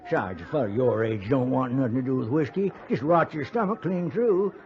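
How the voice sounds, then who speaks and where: A man's voice: mid-range voice, lively intonation, fairly quick, lightly acted. An actor playing a spry, boastful old frontiersman with a folksy American Western drawl, in a 1950s radio Western. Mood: folksy, hospitable. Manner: folksy drawl, rambling, chuckling, chatty. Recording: dull, hissy 1950s radio recording.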